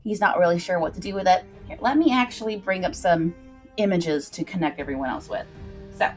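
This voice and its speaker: A woman's voice: lowish voice, a little fast, darkish tone, faint music behind. A woman hosting a livestream, recapping a story informally and explaining it directly to her viewers in a chatty way.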